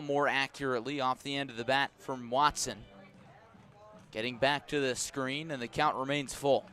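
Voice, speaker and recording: An American man doing live baseball play-by-play, measured and descriptive between pitches and emphatic on the action, speaking from a ballpark press box. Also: fairly bright tone, smooth. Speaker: baseball play-by-play radio announcer. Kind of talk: live sports commentary.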